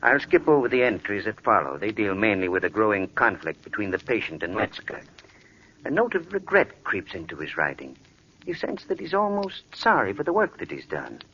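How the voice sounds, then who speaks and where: An actor playing a worried, earnest hospital doctor in 1940s radio drama, speaking with dramatic urgency. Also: male voice, fairly low pitch, sing-song, moderate pace, pushed and bright, exaggerated voice. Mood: ominous. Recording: muffled, faintly noisy old radio recording.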